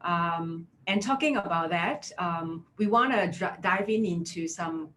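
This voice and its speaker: Casting: Malaysian-accented woman, 30s, HR career coach, lowish voice, chatty, faint hiss behind. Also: measured pace, fairly bright tone. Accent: Malaysian English. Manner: chatty, filler-heavy, affirming with "yeah" and "right?". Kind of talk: webinar presentation.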